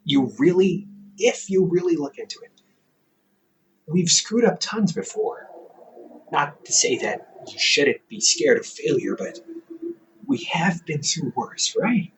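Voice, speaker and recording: A man playing a teasing, reassuring older brother, a scholar in his thirties, in an intimate, casual audio roleplay with halting, conversational delivery. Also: on the high side, sing-song, pitched above the performer's own voice, poor audio. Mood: encouraging. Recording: hobby microphone with faint background noise.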